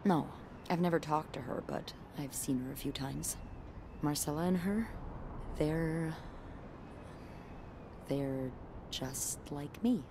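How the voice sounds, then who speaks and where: Low-voiced, confiding woman. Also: background noise. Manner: halting, confiding. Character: guarded, caring woman opening up to a friend. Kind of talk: naturalistic video game acting.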